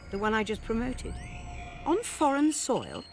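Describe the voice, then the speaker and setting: A woman's voice: fairly high pitch, wildly swooping pitch, measured pace, heavily acted. An older woman with a British accent playing a stern, brusque intelligence chief in a video game cutscene. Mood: tense, demanding. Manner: brusque, commanding, clipped. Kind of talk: scripted game cutscene dialogue. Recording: music behind the voice.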